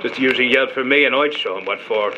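An actor playing a hot-tempered, loyal stowaway prisoner, defiant and emotional, in an audio drama. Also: male voice, medium pitch, fast talker, muffled recording, background noise, close to an everyday voice. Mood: pleading, earnest. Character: hot-tempered lower-class stowaway prisoner, fiercely loyal to his friend.

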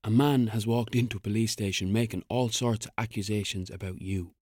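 A man's voice: fairly low pitch, fairly even intonation, a little fast, a little mellow, dry recording, studio quality, natural voice. An actor playing a serious, terse male police officer who asks blunt, probing questions in an audio drama. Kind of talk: naturalistic audio drama acting.

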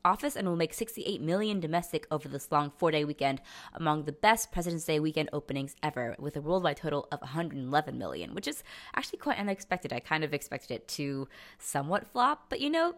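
Young woman podcast host, lowish voice, casual chat with hesitant pauses. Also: big pitch swings. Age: young adult.

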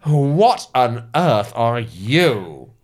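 A man's voice: medium pitch, sing-song, very slow. A man voicing an imperious, bullying male games critic with a haughty, scolding manner. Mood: haughty, disdainful. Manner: haughty, scolding, swings into sudden emphasis.

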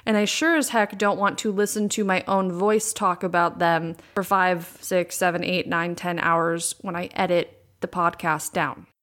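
A young adult woman hosting a solo podcast, with a raspy voice and vocal fry worn from recording many times, talking candidly and repeating words for emphasis. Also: lowish voice, steady tone, a little slow, hardly any pauses, fairly bright tone. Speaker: podcast host. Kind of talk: casual solo podcast talk. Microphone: USB condenser microphone.

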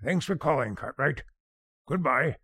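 An actor playing a blustering, fatherly older boss in a radio sitcom, gravelly and husky, stammering and repeating himself. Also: fairly high pitch, expressive, measured pace. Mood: jovial, pleased.

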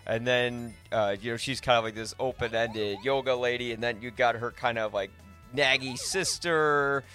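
Man, podcast host, mid pitch, casual review chat, rambling, filler-heavy, faint music behind. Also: fairly bright tone.

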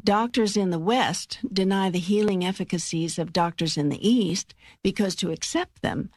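God for an audiobook, a wise and reassuring spirit with a low voice. The delivery is assured and measured, with a teasing wit, recorded dry and close. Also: female voice, darkish tone, no room sound. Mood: firm, insistent. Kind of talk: dramatised audiobook dialogue.

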